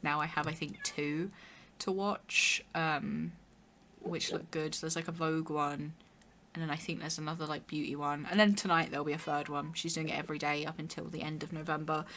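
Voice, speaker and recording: A young woman with a hoarse, raspy voice, chatting casually and rambling while streaming a game. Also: deep voice, little pitch movement, normal speed, fairly bright tone, slight background noise. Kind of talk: casual chat while gaming.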